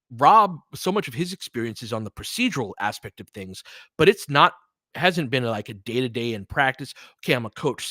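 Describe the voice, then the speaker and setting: An American man with a mid-pitched voice talks sports off the cuff in a close, dry recording. He is candid and opinionated, leaning on his points emphatically as he chats with listeners. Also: sharp tone, dry recording. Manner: candid and opinionated, swears freely. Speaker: sports livestream host. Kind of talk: casual, unscripted sports commentary chatting with listeners.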